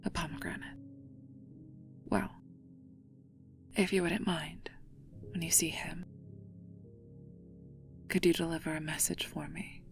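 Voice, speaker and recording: Woman acting playful regal goddess, low female voice, gravelly creak, breathy whispers, slow teasing delivery, wistful, ASMR roleplay, soft music behind. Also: flat delivery, heavily acted. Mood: grateful, tender, then wistful, pleading. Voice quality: breathy, often dropping into whispers.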